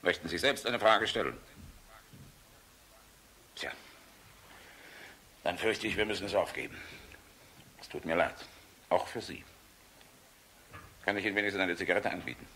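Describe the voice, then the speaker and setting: An actor playing a weary, middle-aged air force general in a radio play, speaking in a measured, blunt but courteous way. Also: male voice, low-pitched, sharp tone, slight background noise. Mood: persuasive, resigned.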